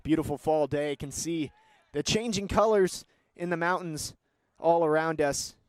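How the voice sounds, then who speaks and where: A young man with a slightly high voice delivers live sports commentary in a close, dry sound. He describes play in a measured way and lifts into excited calls when the action builds. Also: no echo. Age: young adult.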